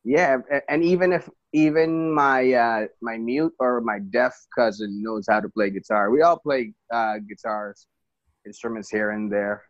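A man from the Philippines, a musician guest, talking in a relaxed, rambling way and laughing easily. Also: mid-range voice, a little slow, muffled recording. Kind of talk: casual interview.

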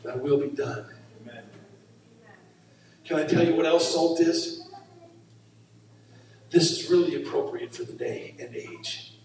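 A man pastor preaching an impassioned sermon in his church, often breaking into shouts. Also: fairly high pitch, slow, pauses often, a little hiss or hum. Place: church sanctuary. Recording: very echoey hall, mic far off.

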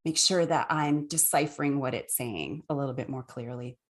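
Low-voiced woman hosting a podcast. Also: moderate pace. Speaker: podcast host. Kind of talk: interview questions.

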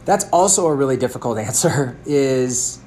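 A man's voice: mid-range voice, expressive, normal speed, mellow, a little hiss or hum. A man in his thirties, a fitness coach, answering a question in an earnest, thoughtful way, often restarting his sentences. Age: 30s.

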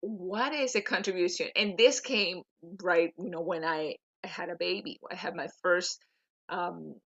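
A middle-aged woman with a Venezuelan Spanish accent talks as an interview guest. Her voice is bright and forceful, and she speaks conversationally, often repeating words as she goes. The sound is slightly dull, like a remote connection. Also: on the low side, unhurried. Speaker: podcast guest, LinkedIn coach.

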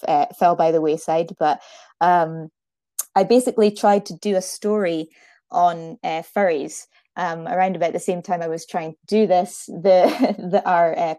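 A woman's voice: on the low side, mellow, no room sound. A Scottish woman in her thirties chatting casually about herself as a guest, with a self-deprecating, talkative manner. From Aberdeen, Scotland. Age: 30s. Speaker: podcast guest, fursuit maker. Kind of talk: casual chat, telling own story.

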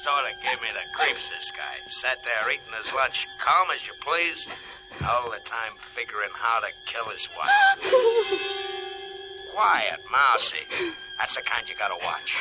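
An actor playing a slangy, insinuating fast talker with theatrical menace in a 1950s radio drama. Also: male voice, mid-range voice, loud music behind the voice, lightly acted, rough recording. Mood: menacing, taunting.

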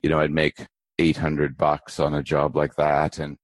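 A middle-aged man from Canada, a guest giving measured, emphatic business advice in a podcast interview. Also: a bass voice, monotone, no echo. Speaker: entrepreneur guest.

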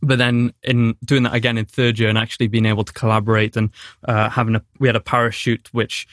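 A man's voice: mid-range voice, level tone, quick pace, a bit bright, no room sound, studio quality. A young man from Newport with a Welsh accent, chatting casually about acting, with many fillers and restarts. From Wales. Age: young adult. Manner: hesitant, lots of fillers and restarts. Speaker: drama graduate. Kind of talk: casual chat.

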